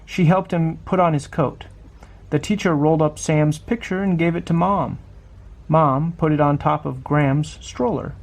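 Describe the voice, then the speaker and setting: A man's voice: a little high, normal speed, creaky, slight background noise. A man reading a children's picture book aloud expressively, putting questions to the listener as he goes. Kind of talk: storybook read-aloud for children.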